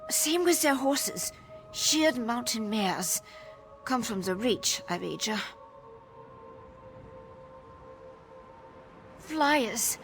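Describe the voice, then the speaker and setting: Young Nord townswoman, high voice, guarded, world-weary, fantasy game dialogue, music underneath. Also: animated, slow pace. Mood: guarded, wistful. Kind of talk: video game dialogue acting.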